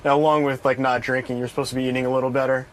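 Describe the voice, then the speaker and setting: A man's voice: medium pitch, level tone, very fast, slight background noise. A man questioning a colleague on a radio show in a teasing way. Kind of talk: on-air questioning.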